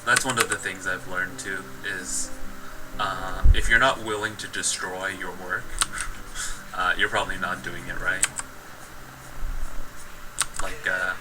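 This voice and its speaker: A man's voice: deep voice, little pitch movement, slow, long pauses. A man streaming himself painting, talking casually about his work, rambling and restarting his thoughts. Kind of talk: casual running commentary while painting. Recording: distant mic under loud music.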